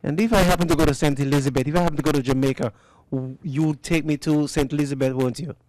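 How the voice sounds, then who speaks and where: A man's voice: a little high, steady tone, quick pace, darkish tone. A man commentating on a live cricket match from a commentary booth, chatty and teasing as he interviews a guest. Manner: chatty, teasing, fond of asking follow-up questions. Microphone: commentary booth microphone.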